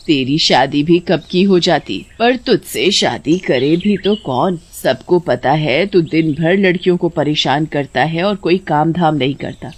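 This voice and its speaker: A woman's voice: low voice, animated, measured pace, hardly any pauses, slightly rough, exaggerated voice. A woman voicing an old village mother in a moral story, wearily scolding and nagging. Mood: exasperated.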